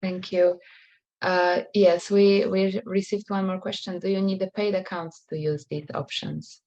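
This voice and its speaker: A woman's voice: fairly low pitch, flat delivery, unhurried, darkish tone, dull audio. A young woman moderating a webinar on a video call, relaying audience questions.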